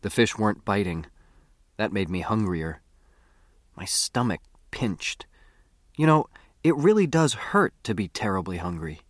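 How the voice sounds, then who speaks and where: A man's voice: fairly low pitch, sing-song, unhurried, long pauses, dry recording, clean studio sound. A man with an American accent narrates a first-person story expressively, like a boy telling his own adventure in a conversational way. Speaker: audiobook narrator.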